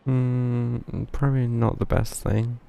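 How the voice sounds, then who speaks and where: A young man streaming a game, commenting casually in a low-key, musing way. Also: little pitch movement, takes it slowly, creaky, mellow, clean tone. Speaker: live streamer. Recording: game music behind the voice.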